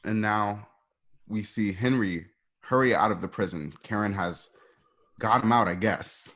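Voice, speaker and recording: A man narrating stage directions informally, in halting, improvised phrasing. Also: fairly low pitch, a bit bright.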